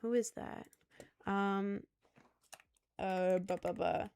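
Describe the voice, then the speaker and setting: A woman's voice: medium pitch, fairly even intonation, slow pace, gravelly, a little mellow, smooth. A young woman in her twenties from Maryland with a light Southern accent, chatting casually with viewers in a rambling, self-deprecating way. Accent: light Southern American mixed with New York. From Maryland, USA. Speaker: content creator. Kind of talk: casual livestream chat with viewers.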